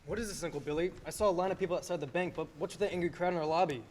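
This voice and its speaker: A man's voice: high-pitched, rapid-fire, a little mellow. A young man playing an earnest, headstrong small-town businessman with an emphatic manner in a radio play. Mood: indignant. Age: teens. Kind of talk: filmed radio play acting. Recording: faintly noisy stage recording.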